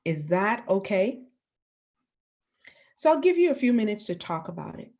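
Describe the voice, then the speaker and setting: A woman teacher from the Bahamas giving a lesson to children, asking the class questions and pausing for answers. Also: medium pitch, sing-song, a little mellow.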